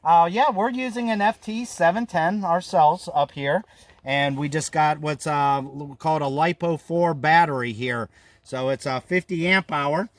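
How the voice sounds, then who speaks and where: Higher-voiced American man, friendly and folksy. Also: measured pace, no echo. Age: 50s. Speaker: amateur radio operator. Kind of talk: casual ham radio conversation. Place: outdoors on a mountain trail.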